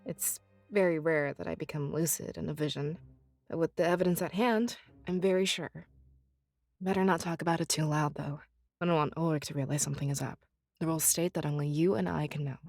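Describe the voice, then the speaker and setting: A woman's voice: deep voice, steady tone, fairly quick, a little mellow, dry recording. A woman voicing an earnest young seer, devoted to the spirits, in a visual novel game, explaining things with assurance. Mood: confident, cautious.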